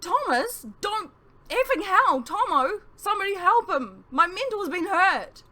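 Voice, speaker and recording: A woman reading an audiobook, voicing an excitable young male friend in urgent, exclamatory dialogue. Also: unhurried, full character voice. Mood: panicked shrieking. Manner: exclamatory, urgent, voice pushed high. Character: Talgith, excitable young male friend, teasing, shrieking in panic.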